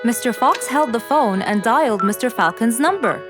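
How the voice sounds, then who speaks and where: Female storyteller for children's tales, slightly high voice, animated intonation, prominent music bed. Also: normal speed. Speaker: narrator. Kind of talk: scripted children's story narration.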